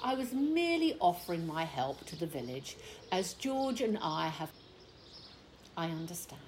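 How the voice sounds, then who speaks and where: A woman's voice: fairly low pitch, sing-song, normal speed, a little mellow, a little hiss or hum. A woman playing an indignant, fussy village wife in an audio play, huffy and emphatic. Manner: huffy, put-upon, emphatic.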